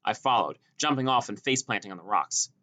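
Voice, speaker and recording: A man's voice: lowish voice, animated, quick pace, sharp tone, a little hiss or hum. A man reading a scripted first-person story as an audiobook narrator.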